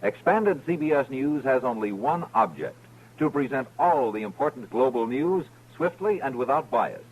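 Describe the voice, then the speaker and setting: A man's voice: medium pitch, lively intonation, normal speed, darkish tone. An American man reading a scripted 1950s radio promo in a polished, emphatic announcer style. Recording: faintly noisy old radio recording.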